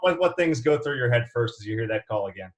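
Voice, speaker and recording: A man's voice: mid-range voice, expressive, quick pace. An American man hosting a sports interview with an emphatic, presenterly delivery. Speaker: interview host.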